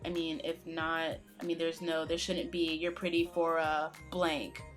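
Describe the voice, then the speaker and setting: A young American woman co-hosting a casual fan-channel question-and-answer video, talking in a chatty, animated way. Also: sits low, faint music behind. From United States. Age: young adult. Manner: chatty, animated, quick when opinionated. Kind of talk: casual Q&A chat.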